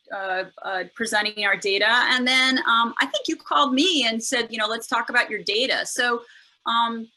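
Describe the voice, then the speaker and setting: A woman hosts a relaxed online talk, speaking with a bright, clear tone. She chats loosely and often circles back with fillers and restarts. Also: on the high side, poor audio. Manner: chatty, with many fillers and restarts. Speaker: podcast host. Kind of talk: casual webinar chat.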